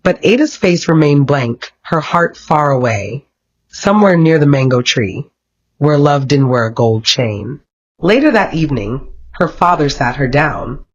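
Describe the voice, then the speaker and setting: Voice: mid-range voice, expressive, a little slow. Dull-sounding dramatic folktale storyteller. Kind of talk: scripted narration of a romantic folktale.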